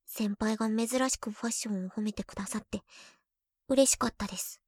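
A young woman playing a teasing, shy girlfriend who is junior to the listener, in an ASMR roleplay, talking playfully and dropping into soft whispers. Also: medium pitch, steady tone, a little fast, husky, no echo, clean studio sound, lightly acted.

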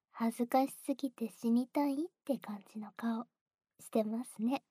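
A woman playing a breathy, teasing young junior. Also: on the high side, slow, warm tone, no room sound. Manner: teasing, giggly, close whispering. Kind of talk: whispered ASMR roleplay.

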